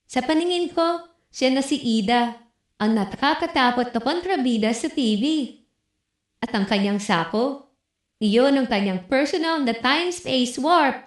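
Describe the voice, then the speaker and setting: A woman narrating a scripted first-person story in an expressive, dramatic storytelling manner. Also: high voice, a little slow. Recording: roomy echo with music behind. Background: music.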